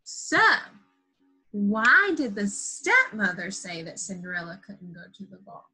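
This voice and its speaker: Woman, primary teacher, bright forceful voice, slightly husky, simple questioning phrases, stretched key words, children's lesson. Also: medium pitch, big pitch swings, measured pace, low-quality recording. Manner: simple, patient phrasing with frequent questions to young listeners. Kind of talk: interactive children's lesson after a read-aloud.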